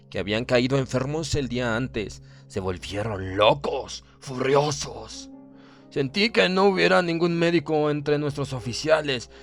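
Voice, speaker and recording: A man reading a horror story as a dramatic audiobook narrator, in the role of a stern German submarine officer, with measured, theatrical delivery. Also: medium pitch. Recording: narration over clear background music. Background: music.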